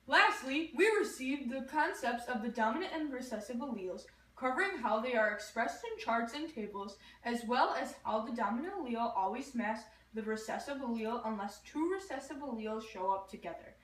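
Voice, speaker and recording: A woman's voice: fairly high pitch, lively intonation, brisk, few pauses, a bit bright, slight background noise. A young woman reciting scripted explanatory lines in an educational skit. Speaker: puppet show performer. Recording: echoey room, distant mic.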